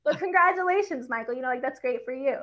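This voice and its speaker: A young woman author with a high voice, speaking outspokenly and laughing often in a book event conversation. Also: animated, fast, lo-fi sound, background noise.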